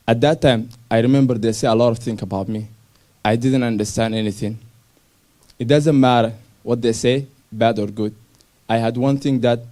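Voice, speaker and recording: Young guy from somalia, warm low-key voice, simple broken english, keeps restarting. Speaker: speaker sharing his refugee story. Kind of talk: personal story talk on stage.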